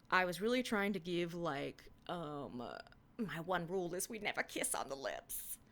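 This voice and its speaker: A young woman reading her own old fan fiction aloud in a hushed, half-whispered voice, then chatting about it with giggly, self-mocking commentary. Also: fairly low pitch. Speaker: podcast reader.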